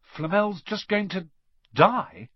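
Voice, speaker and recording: A man's voice: sits high, slow pace, no room sound, dull audio, voice pushed up. A man voicing a blunt, wide-eyed schoolboy sidekick in an audiobook, plain-spoken and incredulous. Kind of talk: audiobook character voice.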